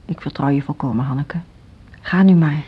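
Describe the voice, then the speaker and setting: An actress playing a tired, ailing older school director in a Dutch radio drama, resigned, wistful and quietly suspicious. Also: female voice, low-pitched, normal speed, husky. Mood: resigned, probing. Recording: dull, lo-fi radio play recording.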